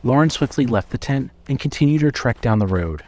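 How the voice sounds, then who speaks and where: A man's voice: mid-range voice, expressive, a little fast, darkish tone, a little hiss or hum. A man narrating a scripted horror story as the narrator of an audio drama.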